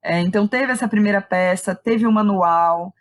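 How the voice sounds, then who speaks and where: A woman's voice: medium pitch, normal speed. A woman with a Brazilian accent explains her work as a guest in a casual, reflective interview, often checking in with small tag questions. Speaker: interview guest, playwright and director.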